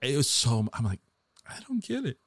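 A man's voice: medium pitch, huge pitch swings, moderate pace, fairly bright tone, slightly rough, no echo. A middle-aged American man hosting a podcast and chatting casually with a guest. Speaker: podcast host, interviewer. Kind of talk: casual chat.

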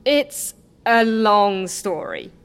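An actress playing a brisk, cocky death-faking specialist in an audio drama, punchy and matter-of-fact. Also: female voice, medium pitch, animated, clear, resonant tone. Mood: assured.

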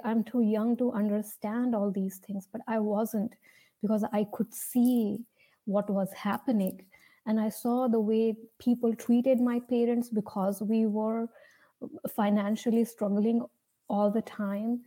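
A young woman from India with an Indian accent tells her personal story off the cuff, earnestly and with hesitant pauses. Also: a little high, mellow, poor audio. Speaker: speaker sharing a personal story. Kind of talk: personal storytelling, unscripted talk.

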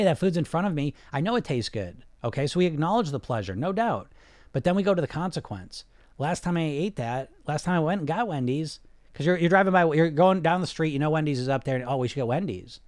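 A man's voice: on the high side, animated, fast talker, darkish tone, dry recording. A man hosting a podcast as a weight-loss coach, talking in a casual, emphatic way with lots of "you know" fillers. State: sniffling. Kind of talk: casual monologue.

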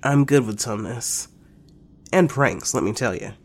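A man's voice: mid-range voice, measured pace, gravelly. A man playing an easygoing, self-effacing fellow in an audio drama, casual, rambling and tentative. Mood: friendly, tentative.

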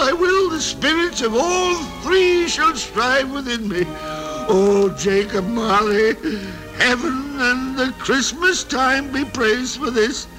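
An actor playing an excitable, stammering old miser in a vintage radio drama, with theatrical delivery. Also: male voice, very high-pitched, full character voice, rough recording. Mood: giddy relief. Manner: theatrical, stammering exclamations and repetitions. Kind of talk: 1930s-40s radio drama acting. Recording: old radio recording with music behind.

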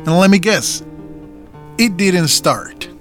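A stern farm owner. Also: male voice, sits high, animated, fairly bright tone, soft background music, exaggerated voice. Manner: firm, measured, confronting. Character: stern man who co-runs a family farm. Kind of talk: audio drama acting.

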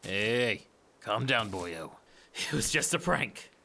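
A man's voice: lowish voice, expressive, slow, vocal fry, sharp tone, slight background noise, full character voice. A man playing a mischievous young prankster with theatrical, mock-solemn airs in an audio drama.

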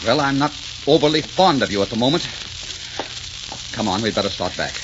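A crackly, muffled old radio recording carries a man acting as a proud, hot-tempered desert sheik. He gives orders imperiously and teases with assurance, his pitch swinging widely in true 1940s romantic style. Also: animated, brisk, lightly acted. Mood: grave, bitter. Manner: imperious, teasing, clipped commands.

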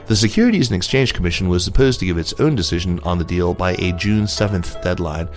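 A man hosting a news podcast, reading business stories and introducing guests in a presenter's style. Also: low-pitched. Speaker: podcast host and news reader.

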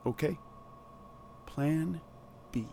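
An actor playing a sardonic, defiant rebel scientist in an audio drama, speaking in terse, coolly menacing lines. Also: male voice, a little high, very slow, gravelly, dark tone, a little hiss or hum, heavily acted. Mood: cool, curt threat.

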